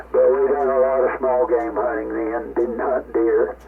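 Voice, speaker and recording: Gravelly older man over ham radio. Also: mid-range voice, flat delivery, slow pace. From rural United States. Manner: folksy, rambling. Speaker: amateur radio operator. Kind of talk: casual reminiscing chat. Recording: tinny, noisy ham radio transmission.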